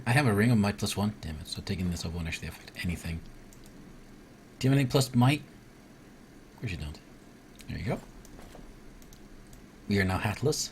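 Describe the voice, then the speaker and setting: A man from Iceland doing casual live gameplay commentary, reading game text aloud and thinking out loud as he mutters to himself. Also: on the low side, a little hiss or hum. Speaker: video game streamer.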